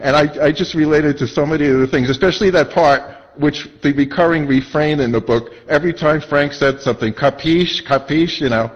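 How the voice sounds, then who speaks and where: A man interviewing casually in a halting, rambling way, speaking as an admiring fan. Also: on the high side. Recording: dull lo-fi audio.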